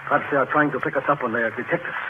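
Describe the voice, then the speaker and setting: Man playing a nervous, quick-talking submarine seaman. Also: mid-range voice, steady tone, natural voice. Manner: deferential, timid. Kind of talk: old-time radio drama acting. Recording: noisy, tinny old radio recording.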